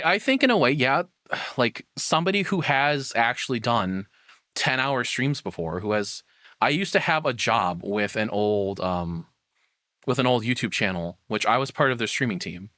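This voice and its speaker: A man's voice: medium pitch, pushed and bright, no echo, clean studio sound. A man streaming a casual, opinionated rant, rambling and self-deprecating as he chats with viewers. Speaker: streamer and VTuber host.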